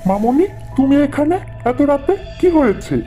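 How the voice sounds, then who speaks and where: A woman's voice: high-pitched, expressive, measured pace, dark tone, pitched below the performer's own voice. A woman voicing a kindly, reassuring male rickshaw puller in a cartoon. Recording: faint music behind.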